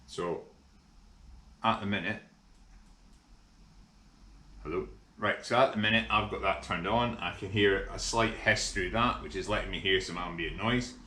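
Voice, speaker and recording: A middle-aged Scottish man casually explaining a kit installation in a workshop, relaxed and full of ums. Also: fairly low pitch, unhurried, long pauses. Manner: relaxed, hesitant with frequent ums. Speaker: workshop vlogger. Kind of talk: casual how-to demonstration. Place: large indoor workshop. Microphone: camera mic from overhead. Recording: echoey workshop with faint hum.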